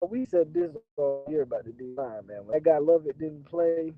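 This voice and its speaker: A hoarse man whose voice is nearly gone, hosting a casual livestream reaction from a moving car and often clearing his throat and coughing. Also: fairly high pitch, normal speed, faint music behind. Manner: keeps clearing throat and coughing, loose rambling talk. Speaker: channel host. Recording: tinny phone-line sound with faint car noise. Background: faint car and road noise.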